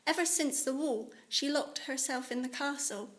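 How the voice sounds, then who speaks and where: A woman's voice: sits high, moderate pace, natural voice. A woman voicing a persistent, upbeat young girl sea creature in a children's audiobook, with a light, casual delivery. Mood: earnest, explaining. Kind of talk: children's audiobook character acting.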